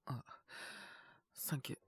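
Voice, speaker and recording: A man with a sore, scratchy throat and a cough, chatting casually and intimately in soft, hesitant murmurs as if to someone beside him. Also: measured pace, dry recording, studio quality. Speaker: voice actor making situation-voice videos. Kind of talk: intimate casual chat to an unheard partner.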